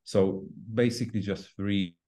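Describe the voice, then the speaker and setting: A man in his forties with a notably deep voice and a dark, relaxed tone. He explains like a fitness coach being interviewed, conversationally and a little haltingly, searching for words and then repeating the important ones for emphasis. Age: 40s. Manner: emphatic repetition of key words. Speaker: fitness trainer and podcast guest.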